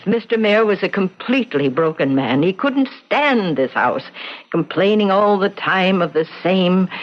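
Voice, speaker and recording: Grieving housekeeper on lo-fi radio. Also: female voice, on the low side. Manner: halting, sorrowful storytelling. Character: grieving female housekeeper, haunted and solemn. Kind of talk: old-time radio drama acting. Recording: dull lo-fi radio recording.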